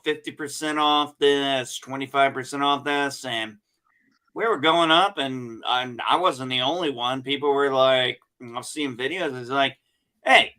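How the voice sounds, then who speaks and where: A man chatting casually as a podcast co-host, rambling slowly through stories with many restarts. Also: medium pitch, bright, forceful tone, smooth. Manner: slow, rambling storyteller with restarts. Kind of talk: casual chat.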